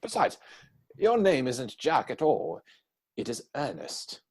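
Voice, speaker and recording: A man acts out a witty, idle young gentleman of Victorian stage comedy. His delivery is arch and theatrical, swooping up and down in pitch with a husky edge. He teases and pries in elaborate, formal sentences. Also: wildly swooping pitch, takes it slowly, exaggerated voice. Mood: teasing, accusing. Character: witty, idle young English dandy, playfully prying bachelor. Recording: home recording over video call.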